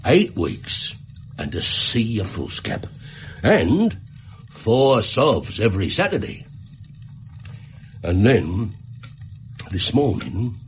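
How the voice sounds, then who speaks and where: A man's voice: on the low side, big pitch swings, slow, long pauses, slight background noise. An actor playing an earnest, plain-spoken Victorian English shopkeeper in a British radio drama, indignant as he labours through his story. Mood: plaintive.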